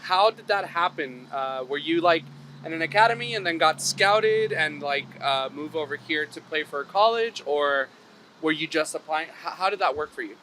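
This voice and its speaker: A man's voice: a little high, expressive, normal speed, sharp tone, slight background noise, poor audio. A man hosting a podcast, asking questions in an upbeat, chatty way. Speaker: podcast host. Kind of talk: casual interview hosting.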